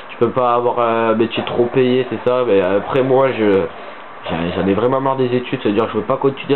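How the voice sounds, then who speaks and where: A teenage boy with a cold and a stuffy nose, rambling casually with many hesitations as a gaming channel host. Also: medium pitch. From southern France. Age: teens. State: stuffy-nosed from a cold, sniffling. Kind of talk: casual gaming commentary voice-over. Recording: tinny phone-like mic with faint hiss.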